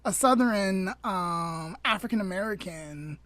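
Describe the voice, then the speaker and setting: A woman hosting a casual podcast conversation, thoughtful and halting as she searches for words. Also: medium pitch, animated, crowd noise. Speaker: podcast host.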